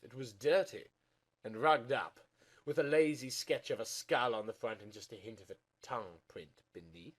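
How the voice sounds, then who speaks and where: A man narrates fiction in a theatrical reading style. His pitch swoops widely, and he overplays the drama, moving between hushed and loud delivery.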